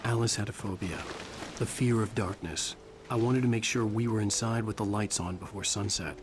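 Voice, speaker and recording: An actor playing a tired, guarded bestselling writer, narrating and speaking in a video game. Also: male voice, on the low side, normal speed, noisy background, close to an everyday voice. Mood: weary, brooding. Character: weary male bestselling novelist, guarded, brooding, tired. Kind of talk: cinematic game dialogue and first-person narration.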